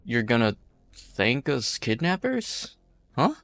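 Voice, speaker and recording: A man's voice: mid-range voice, very animated, takes it slowly, fairly bright tone, dry recording, full character voice. A man voicing a desperate pioneer leader in an amateur fan dub, blunt and folksy. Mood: weary. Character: desperate male pioneer leader, rough and plainspoken.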